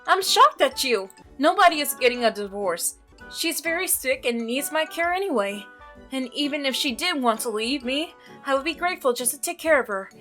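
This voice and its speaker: A woman's voice: high-pitched, a bit bright. Woman reading as a jittery, defensive milkman. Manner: defensive, strained, easily offended. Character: jittery male milkman, devoted to sick wife.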